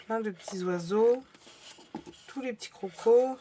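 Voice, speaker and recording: A woman vlogger with a slightly hoarse voice, chatting casually through a crafting haul with many fillers and restarts. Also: a little high, a little slow, warm tone, slight background noise.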